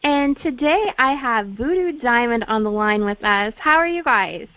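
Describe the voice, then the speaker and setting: A woman's voice: fairly high pitch, lively intonation, normal speed, no echo, muffled recording. A woman hosting a radio show, chatting to a band on the line with rambling restarts. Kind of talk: radio interview.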